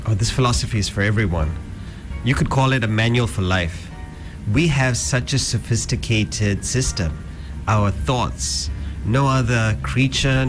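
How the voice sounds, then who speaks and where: Calm explaining man, radio guest. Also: medium pitch. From South Africa. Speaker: guest speaker, Vedanta teacher. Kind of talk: radio interview.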